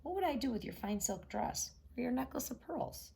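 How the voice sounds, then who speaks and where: Woman putting on a high squeaky frog voice, pleading little male frog, storybook, gravelly edge. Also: expressive, moderate pace, mellow. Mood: bargaining. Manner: high, eager and insistent.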